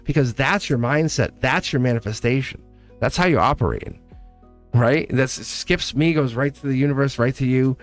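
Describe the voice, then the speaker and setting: A man's voice: mid-range voice, moderate pace, faint music behind. A young man doing a casual, upbeat live tarot reading, talking to viewers in a chatty way and laughing often. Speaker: tarot reader.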